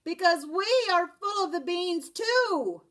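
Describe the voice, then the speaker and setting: A woman voicing a small, excited male Chihuahua who chatters eagerly, in a storybook reading. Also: very high voice, takes it slowly, pitched above the performer's own voice, heavily acted.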